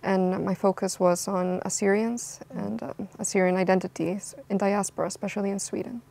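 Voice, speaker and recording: A woman's voice: mid-range voice, steady tone, normal speed, creaky, dark tone. A young woman from Costa Rica, an anthropologist answering interview questions in a thoughtful way, with frequent ums and restarts. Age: young adult.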